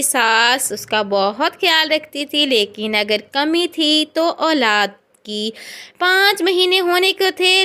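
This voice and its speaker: A woman narrating a scripted children's story in a drawn-out storytelling manner. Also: high-pitched, pushed and bright.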